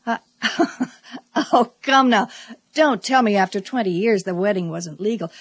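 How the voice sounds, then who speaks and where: An actress plays a devoted, steely middle-aged wife in a radio drama. Her voice is husky and mid-pitched, with emphatic, theatrical phrasing. It is recorded dry, with hardly any room sound. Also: a little slow, no echo, lightly acted. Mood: teasing, amused.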